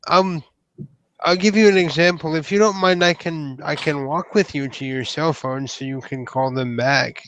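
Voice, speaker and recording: A man playing a shy, polite, lovelorn male troll, with halting and formal wording in a tabletop roleplay. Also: on the high side, steady tone, fairly bright tone, a little hiss or hum, lightly acted, poor audio. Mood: shy, sad.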